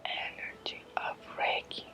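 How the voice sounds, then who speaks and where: A woman with a Spanish accent guides an ASMR meditation in a breathy whisper, in short, halting, repeated phrases. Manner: slow, halting short phrases with gentle repetition. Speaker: ASMR creator guiding a Reiki energy exercise.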